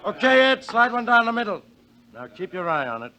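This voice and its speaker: A high, forceful baseball manager. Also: male voice, very animated, full character voice. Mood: brisk, encouraging. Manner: barking, instructive, brisk commands. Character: hard-driving, bossy baseball manager barking coaching orders. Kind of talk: 1940s-50s radio drama acting. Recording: vintage mid-century radio recording.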